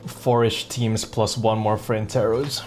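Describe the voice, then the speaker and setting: A man with a low voice talks casually as he streams a game, with music playing behind him. He rambles along, thinking aloud as he goes. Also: level tone, a little slow, a little mellow. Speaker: live streamer.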